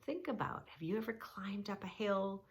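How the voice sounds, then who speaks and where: A woman reading a children's book aloud and gently guiding kids through yoga poses, soothing and instructive. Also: mid-range voice, moderate pace. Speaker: kids yoga teacher and reader.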